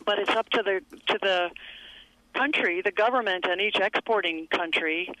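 A woman speaking as an interview guest, explaining her work in a measured, steady way over the phone. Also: medium pitch, no room sound, poor audio. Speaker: environmental advocate guest.

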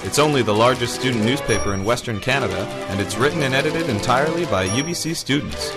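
Male announcer, medium pitch, scripted promo read over prominent music. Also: expressive, fast talker. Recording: loud music under the voice. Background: loud music bed.

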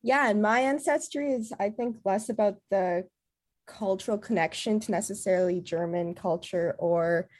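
A woman's voice: mid-range voice, normal speed, a little mellow. A woman from Canada, a theatre artist talking earnestly and reflectively in an interview, often filling with "like". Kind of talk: casual interview talk.

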